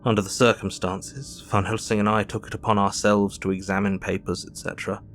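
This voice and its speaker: An actor with an English accent playing an earnest, grieving Victorian doctor, narrating his diary in measured, formal speech for an audio drama. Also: fairly low pitch, flat delivery, soft background music, close to an everyday voice. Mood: sober, measured.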